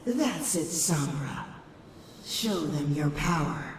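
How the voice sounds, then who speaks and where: A woman's voice: deep voice, animated, takes it slowly, heavily acted. A woman voicing a sinister, manipulative shadow creature in a comic dub, speaking in a coaxing, ominous manner. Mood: encouraging, sly. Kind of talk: dramatic comic dub acting. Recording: echoing hall reverb, distant, faint noise.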